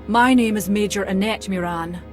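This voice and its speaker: A self-assured female military researcher from a science fiction game, performed by a woman. Her medium-pitched voice is formal with a dry, teasing edge. Music plays clearly behind her. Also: moderate pace, close to an everyday voice. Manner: formal yet wry and needling. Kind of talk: video game cutscene acting.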